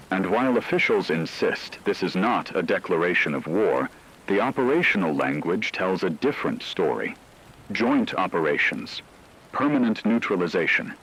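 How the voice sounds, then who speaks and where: A man playing a solemn, deliberate news anchor in a scripted emergency radio broadcast. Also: low-pitched, a bit bright, slight background noise, heavily acted, rough recording. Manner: solemn, deliberate, short emphatic sentences. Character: grave male radio news anchor of a fictional war.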